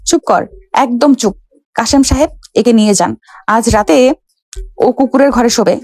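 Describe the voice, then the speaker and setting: A woman's voice: on the high side, measured pace, a little mellow, no room sound. A woman playing a haughty, hot-tempered rich young woman in an audio love story, imperious and scolding. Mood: furious, commanding.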